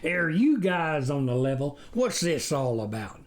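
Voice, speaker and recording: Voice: medium pitch, sing-song, a little slow. A narrator playing a no-nonsense farmer, a husband who is mannerly but suspicious, blunt and questioning. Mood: demanding.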